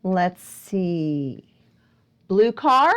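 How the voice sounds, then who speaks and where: A woman's voice: on the low side, very animated, very slow, slight background noise. A woman hosting a picture book storytime for young children, playfully asking questions and exclaiming with wonder. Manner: playful, prompting listeners with questions. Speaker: children's librarian and storytime host. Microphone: speaking close to a camera.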